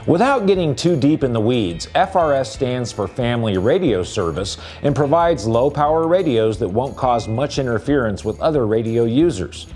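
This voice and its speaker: A man's voice: mid-range voice, lively intonation, moderate pace, hardly any pauses, darkish tone, faint music behind. A man giving a scripted explainer voice-over about handheld radios as a store's product presenter.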